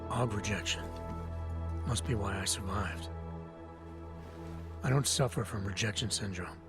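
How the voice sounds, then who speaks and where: An actor playing a terse, stoic, guarded augmented agent in a video game, speaking in a deadpan manner. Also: male voice, lowish voice, a little slow, close to an everyday voice. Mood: guarded, probing. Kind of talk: video game cutscene acting. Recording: loud music behind the voice.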